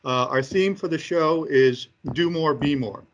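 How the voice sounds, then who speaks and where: A man giving interview answers as a company executive presenting products, speaking haltingly with frequent hesitations between phrases. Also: on the high side, dull audio.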